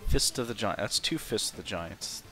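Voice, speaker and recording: A man's voice: on the low side, animated, moderate pace, rough recording. A man chatting casually as he streams and plays a video game, with game music behind him. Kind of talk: casual chat while playing a video game.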